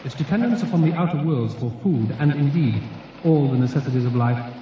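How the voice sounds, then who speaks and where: A grand formal encyclopedia reader for radio sci-fi, slightly high voice, super echoey, noisy old recording. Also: male voice, animated, far from the mic. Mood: solemn, formal. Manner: formal, measured, declamatory. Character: solemn encyclopedia voice reading entries. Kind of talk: scripted narration in a radio drama. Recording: echoing, dull, noisy radio drama recording.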